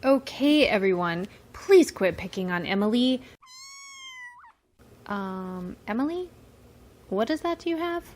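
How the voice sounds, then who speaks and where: A woman's voice: medium pitch, animated, slow pace, pauses often, darkish tone, a little hiss or hum. A woman playing an exasperated voice of reason in an audio comedy skit. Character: exasperated, orderly female voice of reason.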